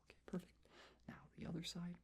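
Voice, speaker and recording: A person whispering in a gentle, reassuring ASMR roleplay style, giving soft step-by-step instructions as a measurer. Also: fairly quick. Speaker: ASMR performer playing a measurer taking face and body measurements.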